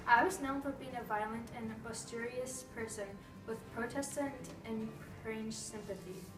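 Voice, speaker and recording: A woman reading scripted answers in the role of a historical man, in a plain, steady way. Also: fairly high pitch, normal speed, close to an everyday voice. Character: grown man, a historical Canadian figure. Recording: echoey room, far from the mic, music behind.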